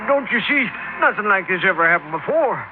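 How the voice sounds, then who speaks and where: A scared, simple American gateman in an old radio crime drama. His high voice whines and pleads in ungrammatical speech. It comes through a tinny, noisy fifties recording. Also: sing-song, brisk. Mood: anxious, pleading. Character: frightened, pleading, simple-minded male plant gateman. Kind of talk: 1950s radio drama acting.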